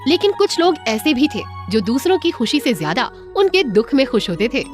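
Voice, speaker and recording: High, lively female storyteller. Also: moderate pace, faint music behind. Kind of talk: scripted narration of a cartoon moral story.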